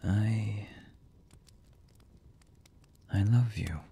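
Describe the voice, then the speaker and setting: A man voicing a brooding, lovesick young anime villain in an intimate ASMR roleplay, husky and breathy with halting, hesitant delivery that often sinks toward a whisper. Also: fairly low pitch, dark tone, a little hiss or hum, full character voice. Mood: tender, confused.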